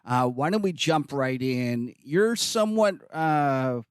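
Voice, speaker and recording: A man's voice: mid-range voice, animated, slow pace, smooth, dry recording. Joking middle-aged man, dry sound. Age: 50s. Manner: jokey, talks over guests to add points. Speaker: podcast co-host. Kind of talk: casual market chat.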